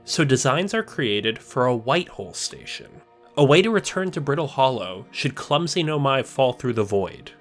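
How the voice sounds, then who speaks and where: A man narrating a scripted video essay, explaining a story step by step in a measured way. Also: medium pitch, big pitch swings, faint music behind.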